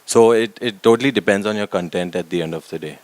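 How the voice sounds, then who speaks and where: A man's voice: on the low side, quick pace. A man, a documentary filmmaker answering interview questions conversationally. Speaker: documentary director and writer.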